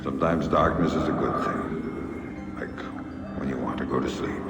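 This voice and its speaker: A man's voice: extremely low pitch, fairly even intonation, moderate pace. An actor playing a guarded, terse, middle-aged spy and father in a video game cutscene. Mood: gentle, reassuring. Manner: terse, controlled. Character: guarded veteran male spy, tender with his young daughter. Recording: echoey room, loud music underneath.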